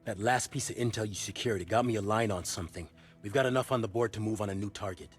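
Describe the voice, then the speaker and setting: An actor playing an earnest, informal CIA contact in a video game cutscene. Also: male voice, on the low side, fast, faint music behind, lightly acted. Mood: businesslike. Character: grateful, earnest rescued male CIA intelligence contact.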